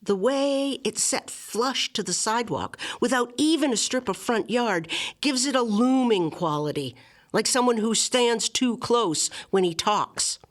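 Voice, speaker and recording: A woman reading a literary memoir aloud as scripted narration, with measured, articulate and expressive phrasing. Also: a little high. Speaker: reader of a literary memoir excerpt.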